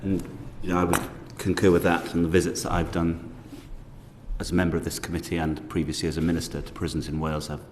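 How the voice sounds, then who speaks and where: A low-voiced man asks questions at a formal committee hearing in a measured, courteous way. His voice rings with a long hall reverb over faint background noise. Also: level tone. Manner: measured, polite, hedging questions. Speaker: committee member questioning witnesses. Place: parliamentary committee room.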